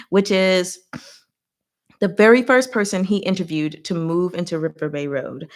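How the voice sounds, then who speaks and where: Chatty woman giving a casual recap. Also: mid-range voice. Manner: chatty, conversational, with frank asides to listeners. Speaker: host of a book recap livestream. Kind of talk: casual book recap and discussion.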